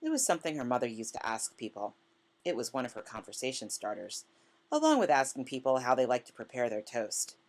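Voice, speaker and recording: A woman reading literary fiction aloud as scripted narration, in a measured manner. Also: a bass voice, sing-song. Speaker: author reading a short story.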